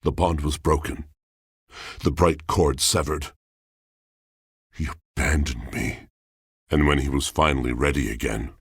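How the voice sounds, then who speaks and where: An actor voicing a brooding, lovelorn poetic machine in a video game, with theatrical, solemn delivery and a gravelly voice. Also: male voice, extremely low pitch, no echo, clean studio sound, full character voice. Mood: bitter, mournful. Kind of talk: video game character acting.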